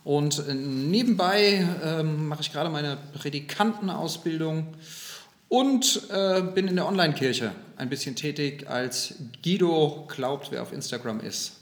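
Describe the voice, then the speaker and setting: Joking man in his forties, higher voice. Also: measured pace. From Germany. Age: 40s. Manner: joking, self-deprecating, hesitant with fillers. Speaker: sexton and podcast co-host. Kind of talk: casual chat.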